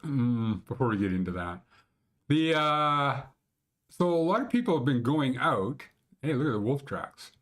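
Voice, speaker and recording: A man's voice: medium pitch, sing-song, a little slow, fairly bright tone. A man in his sixties with a Canadian accent, hosting a casual mountain-conditions show from a small room at home and rambling through it with blunt, crude jokes. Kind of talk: casual screen-share commentary.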